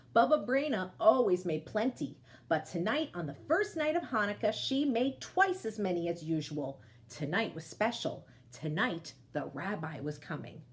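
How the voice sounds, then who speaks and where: A woman storyteller reading aloud to children, expressive, with chatty asides that speak to them directly. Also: mid-range voice.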